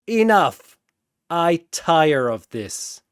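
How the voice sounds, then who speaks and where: A man's voice: fairly high pitch, very animated, extremely slow, clear, resonant tone, no room sound, voice pushed up, full character voice. A man voicing a cold, haughty, godlike swordsman villain with an imperious, disdainful delivery in a battle story. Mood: imperious fury.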